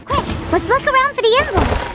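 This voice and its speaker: An actress voicing a tiny, chirpy female fairy companion in a video game, excitable and full of exclamations. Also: very high voice, a little fast, heavy background noise.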